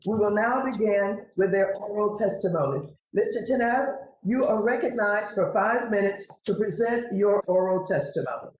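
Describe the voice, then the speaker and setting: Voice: mid-range voice, moderate pace, hardly any pauses, roomy sound, slight background noise, rough recording. A committee chair leading a formal hearing, calling names and administering an oath in a firm, procedural way.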